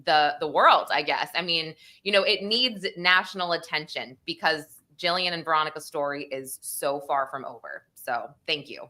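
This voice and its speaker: A woman's voice: deep voice, normal speed, few pauses, pushed and bright. A woman from the Northeastern United States with an American accent, co-hosting a casual true crime discussion in a chatty, informal way. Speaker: co-host.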